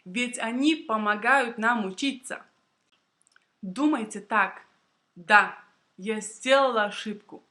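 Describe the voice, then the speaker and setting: A young woman, a native Russian speaker, tells a prepared personal story to camera for language learners in a deliberate, clearly phrased, expressive way. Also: a little high. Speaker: Russian language teacher and video blogger.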